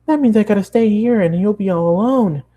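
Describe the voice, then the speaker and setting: A man acts out an excitable young cartoon pony girl, pitched far up from a natural speaking voice. The delivery is gushing, exclamatory chatter, bubbly and eager to please. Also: brisk, muffled recording, lightly acted. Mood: dismayed.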